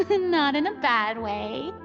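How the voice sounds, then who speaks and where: A high-pitched, theatrical young starlet from a video game, proud and poised. She takes her words slowly and deliberately, yet flusters easily. The sound is slightly muffled, with music behind it. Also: big pitch swings, exaggerated voice. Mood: assured. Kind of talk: voiced video game dialogue.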